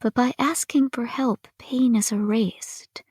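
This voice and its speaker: A woman performing a dramatic poetry recitation with measured, expressive phrasing. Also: on the high side, husky, dry recording.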